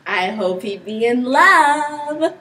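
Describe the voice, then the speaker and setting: A woman's voice: a little high, lively intonation, takes it slowly, pushed and bright, exaggerated voice. A teenage girl playing a young, credulous count in an amateur Shakespeare performance. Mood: teasing. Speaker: student performer. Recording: distant mic with background noise.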